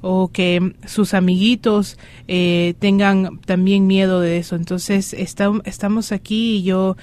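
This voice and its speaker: A woman's voice: mid-range voice, flat delivery, darkish tone. Proud young woman from Ecuador. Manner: proud, deliberate. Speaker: guest, activist.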